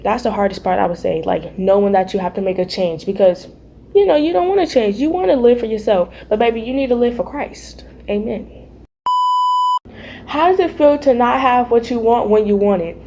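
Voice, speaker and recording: A teenage girl with a raspy, mellow voice, talking loosely and playfully about her faith and calling her listeners baby and y'all. There is a faint hum of room noise behind her. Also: fairly high pitch, normal speed. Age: teens. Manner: chatty and playful, calls viewers y'all and baby. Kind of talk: casual faith vlog chat. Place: home, early morning.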